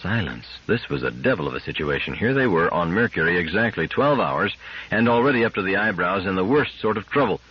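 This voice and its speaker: A man's voice: deep voice, expressive, a little fast, dull audio, background noise. A man reading fiction aloud as a dramatic audiobook narrator.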